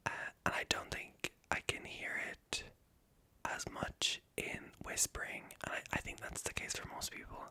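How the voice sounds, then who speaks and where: A woman with a light Irish accent whispers a breathy, self-conscious, stream-of-consciousness ASMR ramble. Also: moderate pace, pushed and bright. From Ireland. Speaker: ASMR video creator.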